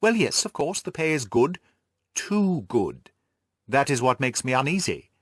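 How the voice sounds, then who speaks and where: A man voicing a Victorian gentleman detective in an audiobook, measured and analytical in manner. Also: medium pitch, sing-song, slightly rough, dry recording, clean studio sound, full character voice. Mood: thoughtful, uneasy.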